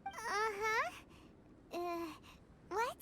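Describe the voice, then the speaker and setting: A woman voicing a tiny, cheeky, childlike fairy companion in a video game, squeaky and exclamatory. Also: animated, extremely slow, noisy background, heavily acted. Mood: surprised. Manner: exclamatory, refers to herself in third person. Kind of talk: video game character dialogue. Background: music.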